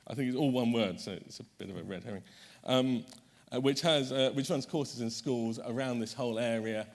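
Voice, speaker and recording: A man's voice: mid-range voice, a little fast. A man chairing a conference panel, introducing the speakers in a chatty way, correcting himself often and making light jokes.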